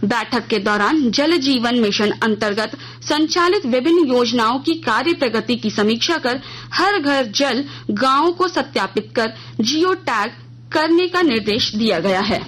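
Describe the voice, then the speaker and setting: Higher-pitched Indian woman reading news. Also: fairly bright tone, rough recording. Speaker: news reader. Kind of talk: formal scripted radio news bulletin.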